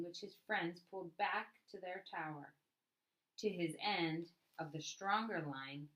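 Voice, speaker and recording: A woman reading a picture book aloud as a storyteller, with expressive narration and dramatic emphasis on key words. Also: fairly low pitch, poor audio.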